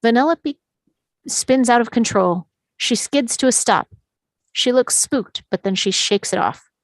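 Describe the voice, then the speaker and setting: A woman's voice: a little high, a little slow, dry recording. A woman reading stage directions as the narrator of a live script table read.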